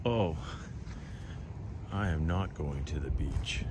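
A man in his thirties talking casually to a handheld camera about his travels, chatty and relaxed, full of fillers. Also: rumbling low, slow, background noise. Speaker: travel vlogger. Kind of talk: casual vlog talk to camera. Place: inside an SUV and outdoors by a coastal beach. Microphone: handheld phone or camera at arm's length.

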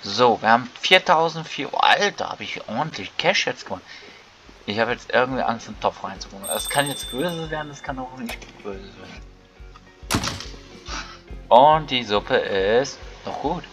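A man's voice: mid-range voice, lively intonation, moderate pace, a bit bright. Man casually commenting on a video game. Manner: colloquial, thinking aloud. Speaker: gaming video creator. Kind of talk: casual let's play commentary. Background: game music.

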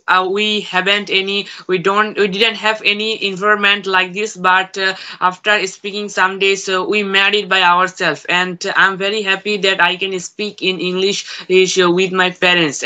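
A teenage boy whose first language is Bangla talks haltingly in English on a video call from home, with many fillers and restarts. His voice is bright and edgy, and his pitch barely moves. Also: level tone. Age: teens. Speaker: student learner. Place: room at home. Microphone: phone on a video call.

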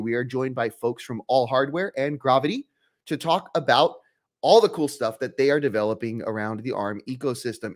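A man in his thirties hosting a live stream, upbeat and energetic, talking with a stuffy nose from a cold into a studio mic in a home workshop. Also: mid-range voice. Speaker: host. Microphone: headphones and studio mic on boom.

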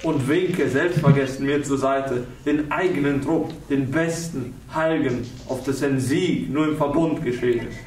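A man's voice: medium pitch, moderate pace, few pauses, darkish tone, a little hiss or hum, natural voice. A man performing a fierce soldierly character in a declamatory, dramatic operatic style. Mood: fierce, heroic. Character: fierce soldierly character recounting war wounds. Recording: distant, echoey, music behind.

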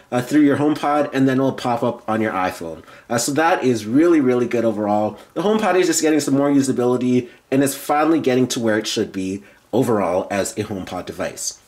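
A man's voice: medium pitch, a little mellow. A man giving an informal, explanatory product demo as a tech reviewer.

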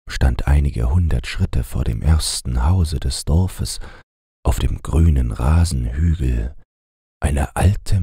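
A man narrating a bedtime fairy tale in a gravelly, husky voice, with a measured, unhurried storyteller's delivery. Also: extremely low pitch, dark tone, no echo, clean studio sound. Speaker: audiobook narrator.

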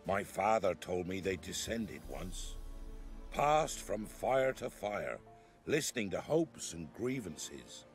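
A man's voice: low-pitched, big pitch swings, slow, soft background music, exaggerated voice. A man playing a solemn, devout peasant elder in a fantasy game, speaking in archaic rustic phrases. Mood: reverent. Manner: archaic, reverent rustic speech. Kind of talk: fantasy video game acting.

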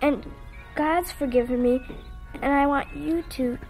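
A woman's voice: high voice, a little slow, darkish tone, soft background music. A woman playing an earnest, shy little girl in a vintage radio drama, hesitant and timid.

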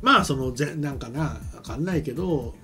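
A man's voice: mid-range voice, brisk. A middle-aged man chatting casually and ramblingly as host of a web talk show, speaking into a desk microphone. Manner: rambling, animated. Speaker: talk show host, anime and game writer. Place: small studio set, indoors. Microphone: desk microphone on a stand. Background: music.

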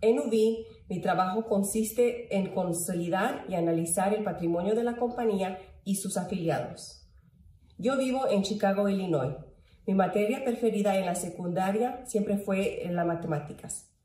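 A woman's voice: mid-range voice, normal speed, dark tone. A woman in her thirties giving an educational presentation to camera from a room at home. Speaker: employee volunteer presenter. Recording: echoey room, distant mic.

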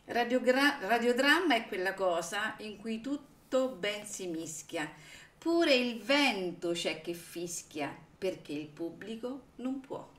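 A woman's voice: medium pitch, animated, slow pace, fairly bright tone. A woman co-hosting a radio discussion, chatting casually and reading aloud. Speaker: radio show co-host. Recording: echoey room.

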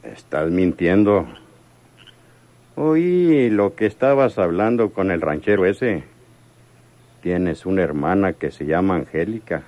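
Slow, deep-voiced arrogant villain. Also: male voice, animated, muffled recording. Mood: menacing, coaxing. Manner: deliberate, smooth-talking, domineering. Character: rich city villain, arrogant, insistent, menacing seducer. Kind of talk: Spanish-language radio soap opera acting.

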